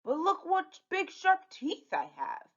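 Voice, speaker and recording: A woman's voice: extremely high pitch, huge pitch swings, slow pace, fairly bright tone, pitched above the performer's own voice, exaggerated voice. A woman voicing a blustering male pirate villain from a storybook, talking in pirate speak on a dull recording. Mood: sly, blustering. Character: gruff pirate villain posing as big bad wolf.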